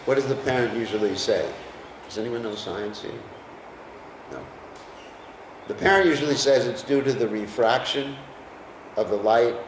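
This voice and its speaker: A man's voice: medium pitch, moderate pace, long pauses, far from the mic, background noise. American man lecturing in an echoey room. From United States. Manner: conversational, asks the class questions. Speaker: lecturer on scripture. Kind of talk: scripture class talk. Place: class room with listeners.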